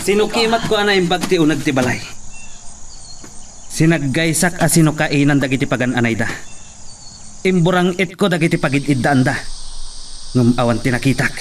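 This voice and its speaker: A man's voice: fairly high pitch, lively intonation, normal speed, pauses often, recorded from a distance, a little hiss or hum, natural voice. A man playing an eager, ruthless henchman in a radio drama. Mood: dutiful.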